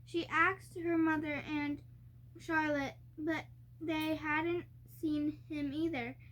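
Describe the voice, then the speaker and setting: A young child reading a storybook aloud, haltingly and word by word, stumbling over harder words. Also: sits high, little pitch movement, a bit bright, a little hiss or hum.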